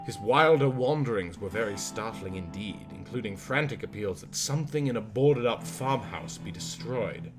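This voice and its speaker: Male audiobook narrator, lowish pitch, scripted literary horror reading, clear guitar music bed. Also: lively intonation, moderate pace, hardly any pauses.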